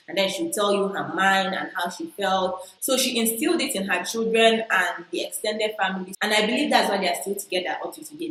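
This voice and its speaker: A woman's voice: mid-range voice, a bit bright, echoey room, low-quality recording. A woman with a strong Igbo Nigerian accent, chatting playfully to camera as a vlogger and repeating herself for emphasis. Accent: Igbo-accented Nigerian English. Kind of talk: casual chat to camera.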